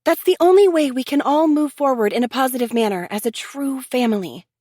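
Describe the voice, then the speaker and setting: A woman's voice: high-pitched, animated, brisk, darkish tone, dry recording, voice pushed up. An upbeat, insistent young woman, voiced by an audiobook narrator pleads for peace in a raised, eager voice. Her delivery is pushy yet cheerful. The recording is close and dry. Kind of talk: audiobook character voice.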